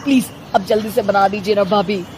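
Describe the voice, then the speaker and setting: A woman's voice: mid-range voice, steady tone, brisk, a little mellow, close to an everyday voice. A woman voicing a childish young man in a cartoon story, casual and wheedling, with faint noise behind. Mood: pleading. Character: childish, pleading young man who adores his sister-in-law. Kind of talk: cartoon moral story acting.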